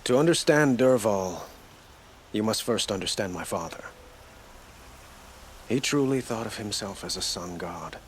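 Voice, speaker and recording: Gracious, formal young king, low-key and earnest. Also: lively intonation, slight background noise. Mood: solemn. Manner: measured, formal, courtly delivery. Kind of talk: video game cutscene acting.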